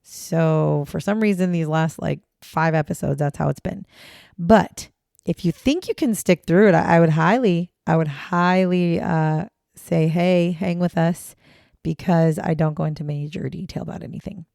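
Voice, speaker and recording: A woman's hoarse, raspy voice, gravelly and dark, on a fairly low pitch. She talks through a true-crime podcast in a chatty, blunt way, with casual swearing. The sound is dry and close, with no room around it. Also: normal speed, no room sound. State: hoarse, raspy voice after choking on water. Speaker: podcast co-host.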